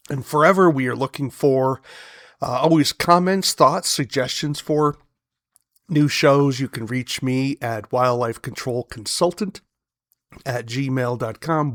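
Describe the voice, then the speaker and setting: A man hosting an educational podcast, hoarse and raspy from a cold, talking casually with many fillers and asides. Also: mid-range voice, fairly bright tone, dry recording, studio quality. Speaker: podcast host.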